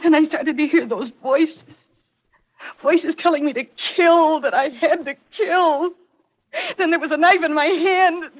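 A bewildered, haunted daughter in a vintage radio mystery. Her voice is high and earnest, with a dazed, dramatic manner. The recording is dull and old. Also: unhurried. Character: troubled, bewildered daughter of a hanged killer. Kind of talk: 1940s radio mystery acting.

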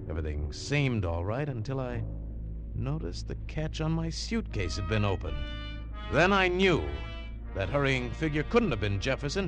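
Hard-boiled adventurer narrating. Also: male voice, mid-range voice. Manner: terse, hard-boiled delivery. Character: tough American adventurer narrating his story. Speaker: narrator and lead. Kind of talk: 1950s radio drama narration.

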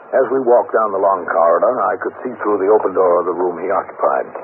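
Narrow scratchy vintage radio, calm police captain, matter-of-fact, authoritative, 1950s crime drama. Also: male voice, sits low, fast talker. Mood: matter-of-fact, firm. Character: calm, businesslike male New York police captain.

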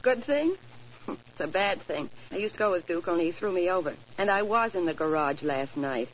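A woman's voice: fairly low pitch, expressive, normal speed, lightly acted. Woman playing a brash, knowing old-radio girlfriend. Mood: brazen. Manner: bold, knowing, coaxing. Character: brash, scheming young woman, racer's sweetheart. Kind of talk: 1940s radio drama acting. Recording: noisy vintage 1940s radio recording.

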